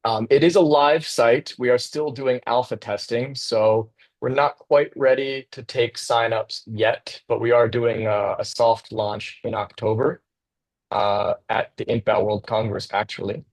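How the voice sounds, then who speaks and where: A man's voice: medium pitch, steady tone, unhurried, poor audio. A man from the United States, presenting as a guest architect over a video call in a casual, enthusiastic way with some fillers.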